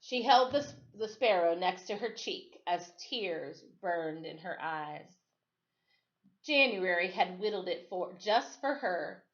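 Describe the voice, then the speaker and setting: A woman reading a children's story aloud as expressive storytime narration. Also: lowish voice, takes it slowly, dull audio. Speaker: storytime reader.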